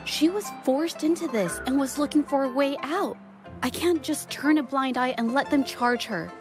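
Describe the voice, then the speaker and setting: Actress doing timid young artist girl from a video game, highish voice, earnest n unsure of herself, hesitant, music playing behind. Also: moderate pace, lightly acted. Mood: resolute, concerned. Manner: hesitant, apologetic, softly earnest. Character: shy, earnest, self-doubting young woman painter. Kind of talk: dramatic game voice acting.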